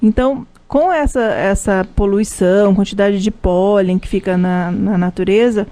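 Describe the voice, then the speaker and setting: A woman's voice: mid-range voice, moderate pace, warm tone. A Brazilian woman, a pharmacist, explaining health topics in a live radio interview, with frequent restarts. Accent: Brazilian Portuguese. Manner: explanatory, many restarts and fillers. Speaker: pharmacist guest.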